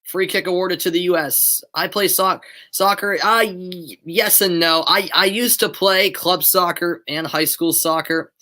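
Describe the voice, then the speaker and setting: A man's voice: high-pitched, steady tone, a little slow, sharp tone. A young American man giving live play-by-play commentary from his bedroom, chatting with viewers between plays and building up on shots. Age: young adult. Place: bedroom at home.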